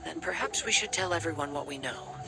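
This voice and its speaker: A young wanderer in a video game, gentle and courteous. His voice is calm, with music playing clearly behind it. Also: fairly high pitch, fairly quick. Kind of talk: English video game voice acting.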